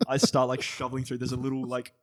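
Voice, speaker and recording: A man's voice: mid-range voice, monotone, quick pace. A man with an Australian accent improvising a comedy scene on a podcast, talking awkwardly with many hesitations and fillers. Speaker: podcast co-host. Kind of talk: improvised comedy role-play.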